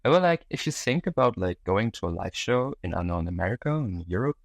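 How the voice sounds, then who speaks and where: Low-voiced man chatting, dry close sound. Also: very animated, fast talker, no room sound. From Germany. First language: German. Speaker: interview guest. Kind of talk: casual interview chat.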